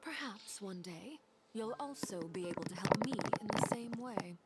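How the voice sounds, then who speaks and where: A woman acting a calm, formal female agent of a powerful organization in a video game, over music. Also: mid-range voice, moderate pace, close to an everyday voice. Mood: cool, sincere. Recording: music behind the voice.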